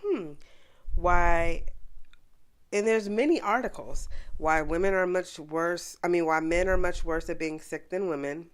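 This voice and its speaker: A woman's voice: on the low side, measured pace. A young woman co-hosting a casual podcast with her partner, congested from a cold, chatty and teasing. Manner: chatty, teasing, reads search results aloud. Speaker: podcast co-host. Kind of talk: casual couple chat.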